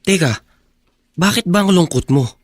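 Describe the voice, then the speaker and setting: A man's voice: high voice, animated, a little slow, no echo, studio quality. A man playing a cheerful, coaxing teenage boy. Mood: upbeat. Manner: upbeat, coaxing. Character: cheerful teenage schoolboy, caring friend.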